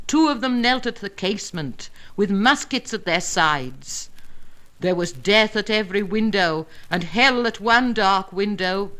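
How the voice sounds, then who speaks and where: A woman reading narrative poetry aloud in a dramatic, measured and expressive manner, with deliberate pauses and emphasis. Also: mid-range voice, low-quality recording. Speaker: poetry reader.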